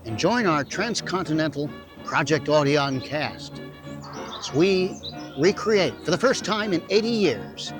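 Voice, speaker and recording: A man hosting an audio drama, reading scripted narration emphatically with deliberate dramatic pauses. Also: on the high side, very animated, over music. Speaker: host introducing an audio drama.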